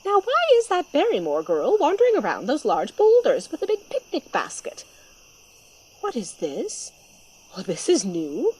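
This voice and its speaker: Fretful, husky, watchful woman. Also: fairly high pitch, a little mellow, heavily acted. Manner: muttering to herself. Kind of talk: audio drama acting.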